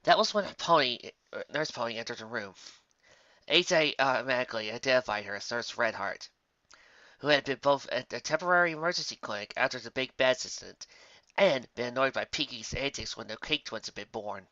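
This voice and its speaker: Bright-voiced man narrating a story. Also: mid-range voice, lively intonation, fairly quick, dry recording, dull audio. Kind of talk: amateur scripted story narration.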